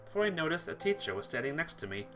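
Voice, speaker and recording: A man reading a visual novel aloud as a playthrough host, narrating in an expressive, theatrical way. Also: a little high, rapid-fire. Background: music.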